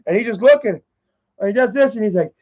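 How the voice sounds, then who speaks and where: A man's voice: high voice, expressive, fast, darkish tone. A man in his thirties telling a long, profane, rambling story on a casual livestream. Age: 30s. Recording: dull lo-fi stream audio.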